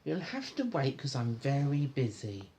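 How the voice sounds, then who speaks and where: A man's voice: medium pitch, wildly swooping pitch, measured pace, dark tone. A man voicing a rude, pompous, impatient rich storybook character with a snappy, demanding manner. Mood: dismissive, busy.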